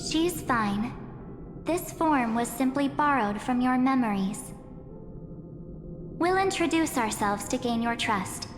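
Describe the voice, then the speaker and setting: A woman's voice: sits high, lively intonation, slow pace, long pauses. A woman voicing an otherworldly being posing as a teenage girl in a video game, with a coaxing, composed and teasing manner. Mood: calm, persuasive. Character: memory entity posing as a cheerful teenage girl, coolly persuasive. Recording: echoey long reverb under clear music.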